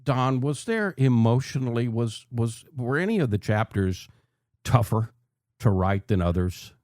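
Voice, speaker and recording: An American man hosting a radio show in an animated, conversational way, with emphatic, repeated phrases. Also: mid-range voice, unhurried, no echo, studio quality.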